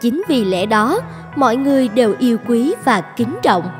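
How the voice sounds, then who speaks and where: A woman narrates a cartoon tale in a fairly high voice. Her scripted storybook delivery rings with long hall reverb, with music playing underneath. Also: lively intonation, normal speed.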